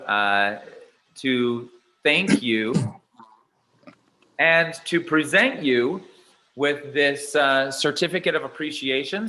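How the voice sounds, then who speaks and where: A man hosting an online spirituality conference, effusive and halting with many fillers. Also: mid-range voice, sharp tone, poor audio. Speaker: event host.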